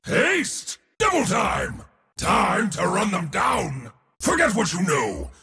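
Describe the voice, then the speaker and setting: A man voicing a proud, boastful god of war for a video game, gravelly, pompous and theatrical in delivery. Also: high-pitched, huge pitch swings, slow pace, bright, forceful tone, raised voice, in a large hall, exaggerated voice. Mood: battle-roused, commanding. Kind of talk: video game character voice lines.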